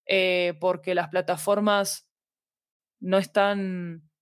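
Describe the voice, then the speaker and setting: A woman's voice: fairly low pitch, level tone, slow, clean tone, dry recording, clean studio sound. A woman with a Latin American Spanish accent, talking reflectively and at length as a guest in a casual interview. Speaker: interview guest, audio fiction expert.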